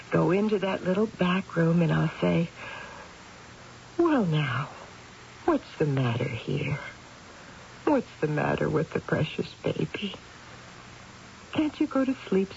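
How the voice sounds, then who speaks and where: An actress playing an eager, chatty middle-aged mother and soon-to-be grandmother in an old-time radio drama, expressive and often repeating herself. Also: on the low side, creaky, exaggerated voice. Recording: hissy, dull old radio recording.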